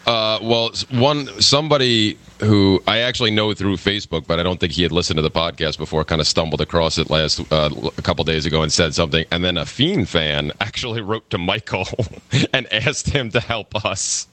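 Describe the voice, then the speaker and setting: A man co-hosting a podcast, chatting casually with a booming voice, hesitant and often laughing. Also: brisk, hardly any pauses, pushed and bright. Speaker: podcast co-host. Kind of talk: casual chat.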